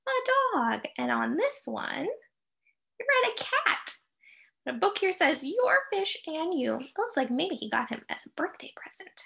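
A middle-aged woman reading a picture book aloud to young children, expressive and sing-song, pausing to put questions to her listeners. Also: high voice, vocal fry. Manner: expressive, sing-song, with drawn-out key phrases and dramatic gasps.

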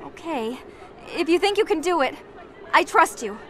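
A woman voicing a loving penguin mother in an animated video game. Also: sits high, normal speed, some chatter behind. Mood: tender, brave. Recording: faint background ambience and noise.

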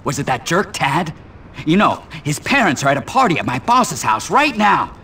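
High-pitched, jittery shopkeeper. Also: male voice, big pitch swings, normal speed, pushed and bright, distant mic, slight background noise, heavily acted. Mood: sly. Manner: jittery, repeats himself. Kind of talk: video game cutscene acting.

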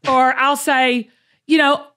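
Higher-voiced Southern woman, emphatic and folksy. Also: flat delivery, takes it slowly, a bit bright. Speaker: show host. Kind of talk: motivational podcast talk.

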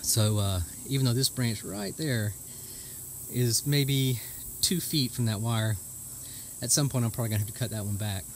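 A man's voice: medium pitch, moderate pace. A man giving a casual, plainspoken, folksy how-to explanation, recorded outdoors on a handheld camera. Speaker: homesteader giving a how-to demonstration. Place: outdoors in a rural yard. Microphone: handheld camera or phone mic. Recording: outdoor ambient noise with insect chirping.

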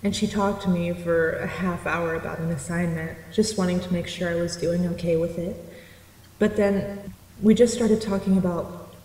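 Mellow, understated woman acted by a woman. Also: on the low side, fairly even intonation, moderate pace, close to an everyday voice. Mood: sincere, polite. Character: down-to-earth, sincere married woman. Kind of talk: naturalistic audio drama acting. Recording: roomy echo, faint background noise.

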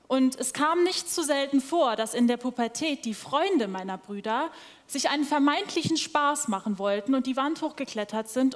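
A young woman hosting a staged event, talking directly to the audience from the stage. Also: high voice. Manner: conversational, addresses the audience directly. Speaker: event host. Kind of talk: staged opening speech. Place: large parliament hall, on stage before an audience. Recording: long reverb in a large hall.

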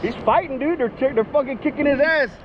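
A middle-aged man chatting casually and excitedly in slang for an outdoor fishing vlog, recorded outdoors on an action camera. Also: very high voice, brisk, highs cut off, noisy background. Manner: excitable, slangy, calls people bro and baby. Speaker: fishing vlogger. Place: outdoors on a surf beach. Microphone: body or handheld action camera.

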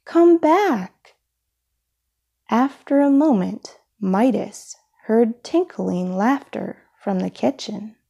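Lady reading a kids picture book, slow n expressive, bit husky and mellow. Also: medium pitch. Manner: deliberate, expressive storytelling. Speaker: storybook reader. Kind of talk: children's picture book read-aloud.

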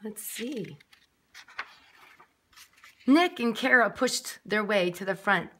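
A woman's voice: mid-range voice, lively intonation, slow, a bit bright. A woman nursery teacher reading a picture book aloud expressively, with chatty asides to young children. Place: classroom, indoors.

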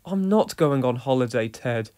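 A woman's voice: extremely low pitch, animated, moderate pace, mellow. A woman voicing a cheerful, friendly village postman who chats in an easygoing, good-humoured way.

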